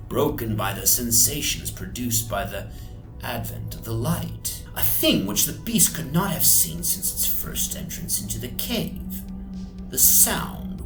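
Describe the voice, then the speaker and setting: A man narrates a horror tale in a theatrical, suspenseful manner. He takes his time with each phrase, often sinking into breathy whispers. His voice rings in a reverberant space, with music underneath. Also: on the low side. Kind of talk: dramatic horror story reading. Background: music soundscape.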